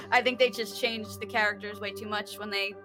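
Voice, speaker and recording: A woman's voice: medium pitch, steady tone, moderate pace, music in the background. A woman chatting casually as an interview guest, effusive and informal, often breaking into giggles. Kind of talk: casual chat.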